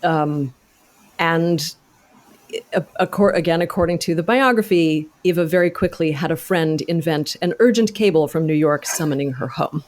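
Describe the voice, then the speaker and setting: A woman's voice: deep voice, moderate pace, a little mellow. A woman speaking as an interview guest, articulate and careful with facts as she recounts research in a conversational way. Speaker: podcast guest, actor and author.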